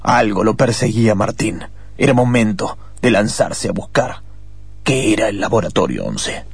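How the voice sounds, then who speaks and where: A man's voice: on the low side, expressive, normal speed, creaky, slightly rough, dry recording. A man with an Argentine accent narrating a suspense story dramatically, as in a radio play.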